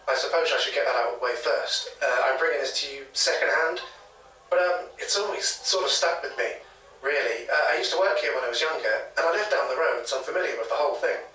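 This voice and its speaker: Male actor as gossipy British local man, halting delivery, echoey taped interview, distant from the mic. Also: mid-range voice, pushed and bright, natural voice. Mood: hesitant, chatty. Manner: halting, hedging, chatty. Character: hesitant, gossipy local man retelling a secondhand ghost story. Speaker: interviewee, former hotel worker. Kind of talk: naturalistic audio drama interview.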